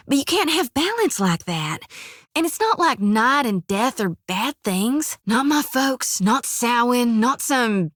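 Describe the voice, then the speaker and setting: An actress voicing a folksy, earnest young priestess of a death god in a video game, with a drawl, rambling and plainspoken. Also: female voice, medium pitch, no room sound, studio quality.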